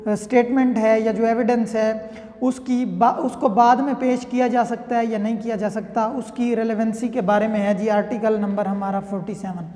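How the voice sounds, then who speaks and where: A woman's voice: a little high, warm tone. A woman with a Pakistani accent teaching law, explaining with examples in a conversational way and often checking that the listener follows. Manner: conversational, often checking understanding with tag phrases. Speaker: law lecturer. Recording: echoey room with long reverb.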